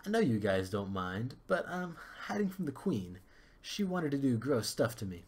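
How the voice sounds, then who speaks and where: A man's voice: medium pitch, very animated, moderate pace, slightly rough. A man voicing a vain, airheaded young prince with a lazy, self-admiring drawl in a comic fairy-tale reading. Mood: smug.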